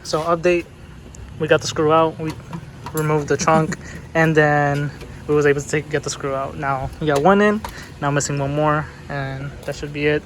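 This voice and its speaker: A man's voice: fairly high pitch, fairly even intonation, normal speed. A young man casually narrating a car part swap in his garage, swearing and laughing easily, recorded on a small camera. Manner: laid-back, swears casually, laughs often. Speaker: car builder filming a garage install. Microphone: GoPro and phone camera. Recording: noisy garage camera audio.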